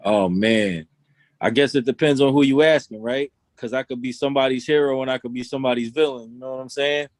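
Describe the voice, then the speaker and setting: A man's voice: medium pitch, brisk, no room sound. A young man from Long Island, talking loosely in an interview and often saying "you know what I'm saying". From Long Island, New York. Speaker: rapper guest. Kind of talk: casual interview talk.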